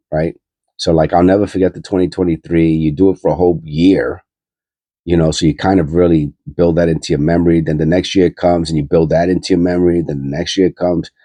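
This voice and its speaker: Male host, congested and nasal, very deep and dark, husky, rambling casual coin talk. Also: dry recording. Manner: rambling, filler-heavy, thinking aloud. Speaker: coin-hunting channel host. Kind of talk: casual coin roll hunting commentary.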